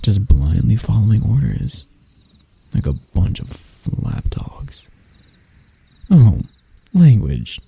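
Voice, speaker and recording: A very dark, mellow male elf royal, acted by a man, proud and mocking, in an intimate ASMR roleplay. The voice is husky and breathy with a creaky edge, and he lets dramatic pauses hang as he addresses his enemy. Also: on the low side, wildly swooping pitch, full character voice.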